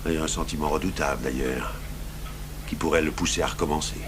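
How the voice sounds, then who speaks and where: An actor playing a gruff, brooding, stubborn French police commissioner in a 1980s radio drama. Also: male voice, a bass voice, steady tone, a little fast. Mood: grave. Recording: noisy old radio broadcast recording.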